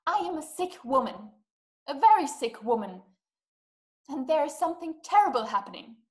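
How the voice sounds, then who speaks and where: A woman's voice: high voice, big pitch swings, normal speed. A young woman playing a distraught, imperious invalid wife in a play, speaking with dramatic, emphatic, formal diction. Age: young adult. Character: distraught, imperious, self-pitying invalid wife, desperate and impatient. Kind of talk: theatrical stage-play acting over video call. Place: small dim room at home. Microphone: webcam video call.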